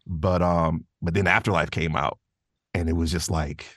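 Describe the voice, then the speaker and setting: A man's voice: low-pitched, fairly even intonation, moderate pace, a bit bright, no echo, studio quality. A man chatting casually as an interview guest, rambling and enthusiastic, often saying "man" and "you know". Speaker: podcast guest, voice actor.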